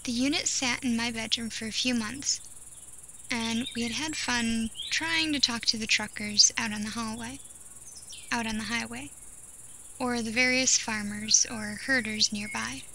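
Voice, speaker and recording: High-voiced woman narrating a creepy story. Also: fairly even intonation, measured pace, sharp tone. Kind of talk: scripted first-person creepypasta narration. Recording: clear background noise of nature ambience.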